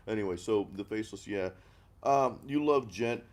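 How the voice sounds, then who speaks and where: A middle-aged man from the American Deep South hosting a casual music chat, rambling and self-deprecating with frequent restarts. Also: moderate pace. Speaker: host of a music chat stream. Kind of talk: casual chat over beers, reading live comments.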